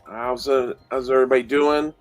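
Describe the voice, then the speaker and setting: Middle aged guy with a stuffed up nose chatting fantasy football, kinda halting, normal pitch. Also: fairly even intonation, soft background music. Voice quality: nasal. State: congested with a cold. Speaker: co-host of a fantasy football show. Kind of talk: casual sports rankings talk.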